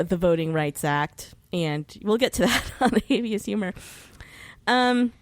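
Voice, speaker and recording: A woman's voice: lowish voice, no echo. An American woman chatting casually as a podcast guest, informal and wry, swearing freely and wandering into asides. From United States. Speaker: podcast guest who hosts her own show.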